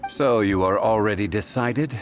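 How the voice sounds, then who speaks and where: Game role: male actor as calm, formal scholar of contracts, deep voice, measured phrasing, music prominent behind. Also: close to an everyday voice. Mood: stern, measured. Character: calm, grave, scholarly man bound by contracts. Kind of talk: video game voice acting. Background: background music.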